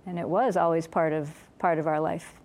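New woman, lowish voice, matter-of-fact, talking about her music teaching. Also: fairly even intonation, normal speed, a little mellow. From Central New York. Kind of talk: casual interview answers.

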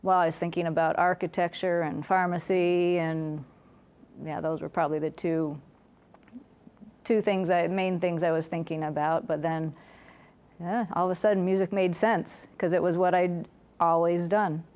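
New woman, lowish voice, matter-of-fact, talking about her music teaching. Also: steady tone, normal speed, a little mellow. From Central New York. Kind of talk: casual interview answers.

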